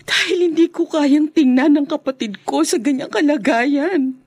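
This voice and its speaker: A woman's voice: high-pitched, normal speed, darkish tone. An actress playing a worried married woman who scolds her younger brother, in a radio drama. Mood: arguing. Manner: stern and probing, breaking into distress. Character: worried married woman, strict older sister.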